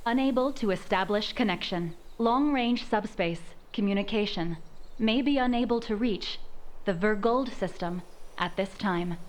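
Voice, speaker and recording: An impassive ship's computer from a video game, voiced by a woman, delivers status notices with impersonal formality. The female voice sits at a middle pitch. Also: normal speed, close to an everyday voice. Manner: impersonal, flatly informative.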